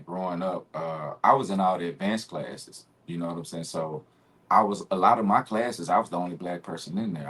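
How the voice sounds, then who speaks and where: A man in his forties from Arkansas with a Southern accent, a guest telling stories in a relaxed, easygoing way that breaks into laughter. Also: low voice, breathy edge. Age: 40s. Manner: relaxed storytelling, laughs easily. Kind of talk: casual interview conversation.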